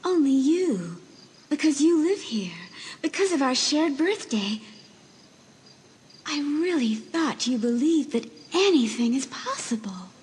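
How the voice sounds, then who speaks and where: Woman doing a hushed ghost girl. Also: high voice, big pitch swings, slow pace, a little hiss or hum, exaggerated voice. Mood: coaxing. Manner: gentle pleading. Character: faint ghostly teenage girl spirit in a mirror.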